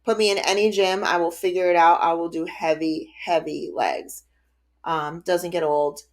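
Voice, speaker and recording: Late-thirties woman, podcast co-host, slightly low voice, chatty and upbeat. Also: fairly even intonation, moderate pace. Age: 30s. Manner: chatty, upbeat, laughs often. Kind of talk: casual chat.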